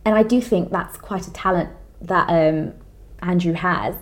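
A woman in her late twenties co-hosting a podcast in casual chat, animated and expressive, often laughing as she talks. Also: on the low side, measured pace. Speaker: podcast co-host.

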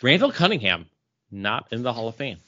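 A man's voice: mid-range voice, very animated, a little fast, sharp tone. Man chatting casually, slightly dull lo-fi sound. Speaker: podcast co-host. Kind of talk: casual pop culture and beer chat. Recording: dull, lo-fi podcast audio.

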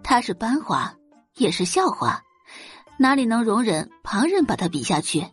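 Husky woman narrating an audio drama. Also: a little high, very animated, moderate pace, soft background music.

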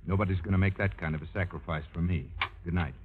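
An actor playing a wisecracking, bitter songwriter husband, sardonic and self-pitying, in 1950s radio drama style. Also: male voice, low voice, fairly even intonation, fast talker, breathy edge. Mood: sullen, irritated. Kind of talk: 1950s radio drama acting and wry narration. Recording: muffled, tinny 1950s radio recording, faint music.

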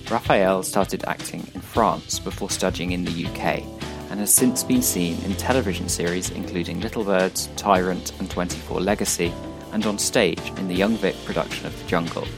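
A man hosting a podcast, reading a scripted introduction in a steady, read-aloud way over guitar music. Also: sits low, vocal fry. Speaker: podcast host.

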